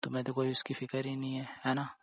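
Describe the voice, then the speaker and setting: A man's voice: medium pitch, monotone, fast talker, a little hiss or hum, close to an everyday voice. A young man acting a hurt, jealous boyfriend who accuses and sulks in casual phone-call talk, often tagging his phrases with questions. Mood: hurt, sarcastic. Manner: sulky, repetitive, ends phrases with tag questions. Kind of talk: acted phone-call drama in casual conversational speech.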